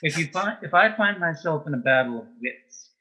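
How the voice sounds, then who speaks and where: A man being interviewed as a guest in a casual chat, answering tersely in a dry, deadpan manner. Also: on the high side, moderate pace, breathy edge, rough recording. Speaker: interview guest, author and actor.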